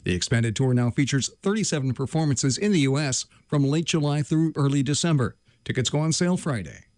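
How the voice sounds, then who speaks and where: A man reading an entertainment news item in a brisk, formal way. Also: mid-range voice, lively intonation, a little mellow, no room sound, clean studio sound. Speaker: entertainment reporter. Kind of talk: news report.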